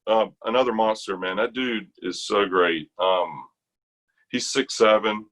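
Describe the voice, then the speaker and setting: A middle-aged American man telling stories casually in conversation, animated and colloquial. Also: lowish voice, measured pace. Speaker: interview guest, retired army chorus bass singer.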